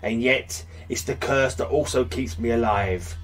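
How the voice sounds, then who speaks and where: A man's voice: medium pitch, unhurried, faint music behind, lightly acted. A man voicing a lonely, cursed man who drains life from anything he touches, speaking in a brooding, mournful way. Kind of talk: comic book reading.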